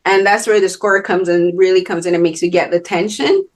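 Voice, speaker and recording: Chatty Bajan woman hosting, slightly low voice. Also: fairly even intonation, brisk. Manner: rambling, many restarts and "like" fillers. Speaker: host. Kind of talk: casual interview chat.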